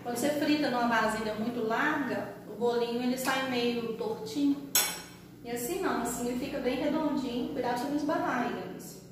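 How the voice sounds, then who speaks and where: A woman's voice: fairly high pitch, steady tone, a little fast, background noise. A woman, chatting to viewers while cooking at home. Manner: chatty, talks to viewers while frying, laughs often. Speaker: cooking channel host. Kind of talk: casual home cooking vlog. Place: small home kitchen. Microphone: camera across the kitchen. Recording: echoey kitchen from far off.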